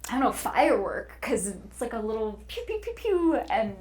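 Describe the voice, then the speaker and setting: A woman's voice: on the high side, big pitch swings, normal speed, darkish tone. A woman, an audio series narrator, chatting casually and playfully as an interview guest. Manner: playful, conversational.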